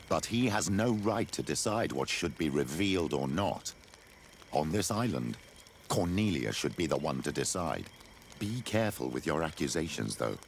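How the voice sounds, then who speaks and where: An actor playing a gruff, level-headed companion in a video game cutscene, judicious and cautioning. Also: male voice, a bass voice, a little hiss or hum, heavily acted.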